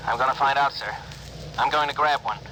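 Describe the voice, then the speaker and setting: An actor playing an eager, dutiful spaceship officer, brisk, earnest and deferential, in a 1950s radio drama. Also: male voice, mid-range voice, sharp tone, close to an everyday voice. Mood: alert, reporting. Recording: faintly noisy old radio broadcast.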